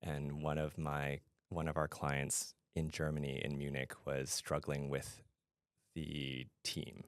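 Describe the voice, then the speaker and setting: Man from Canada, middle-aged, rock-bottom pitch, vocal fry, podcast guest, conversational answers. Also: completely flat delivery, slow, no echo, clean studio sound. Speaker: podcast guest, fintech product adviser and founder.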